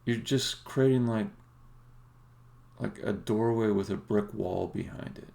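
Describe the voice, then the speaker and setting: A man's voice: lowish voice, unhurried, gravelly, darkish tone. A man streaming live coding as a game developer, talking casually and bluntly in unscripted, sometimes sweary fragments as he thinks aloud. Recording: faint background noise, keyboard clicks.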